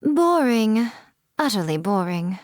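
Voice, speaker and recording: A woman's voice: fairly high pitch, very animated, takes it slowly, no echo, studio quality. A woman voicing a sly, ageless fox spirit shrine maiden in a game, with a teasing, languid, theatrical and self-assured manner. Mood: bored, haughty. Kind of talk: scripted game character voice lines.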